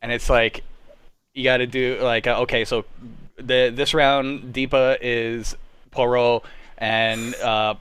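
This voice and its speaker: A man's voice: mid-range voice, little pitch movement, slow, a bit bright, smooth. A young adult man hosting a show in casual chat. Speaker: host.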